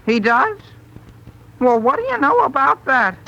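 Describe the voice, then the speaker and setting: A man's voice: very high voice, big pitch swings, measured pace, creaky, pushed and bright, lo-fi sound, heavily acted. An actor playing an earnest, hesitant teenage boy who stammers and dodges, in a 1930s radio comedy. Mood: earnest, eager. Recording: noisy, vintage 1930s radio broadcast.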